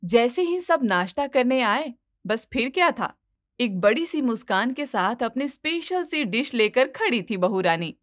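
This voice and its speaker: A woman narrator with a slightly high voice. She tells a scripted moral story, recorded close and dry. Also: big pitch swings, unhurried, no echo.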